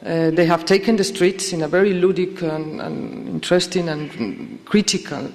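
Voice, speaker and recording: A woman from Spain, speaking slowly in English at a conference and searching for words with many fillers and restarts. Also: on the low side, darkish tone. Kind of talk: halting conference discussion. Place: conference hall. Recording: long reverb of a hall.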